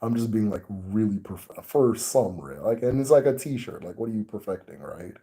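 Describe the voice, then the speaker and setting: A man with an American accent, hosting a casual talk to his viewers in a relaxed, rambling way with many fillers. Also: on the low side, normal speed. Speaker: host. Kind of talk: casual video chat to viewers.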